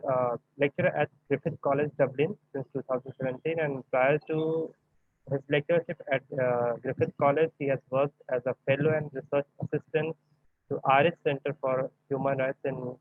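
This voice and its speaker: Man, narrow tinny call sound, mid-pitched, polite semi-formal event hosting. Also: dry recording. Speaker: moderator of an academic online event. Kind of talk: introducing a speaker, semi-formal. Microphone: video call. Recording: phone-like, narrow-band online call audio.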